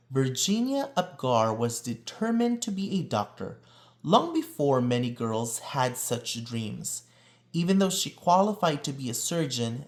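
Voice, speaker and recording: A man reading a children's picture book aloud in an expressive, emphatic storytelling manner. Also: mid-range voice. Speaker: read-aloud presenter.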